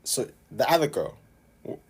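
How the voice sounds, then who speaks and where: A man telling stories in a relaxed podcast chat, often restarting his sentences and dropping slang. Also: moderate pace. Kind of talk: casual chat.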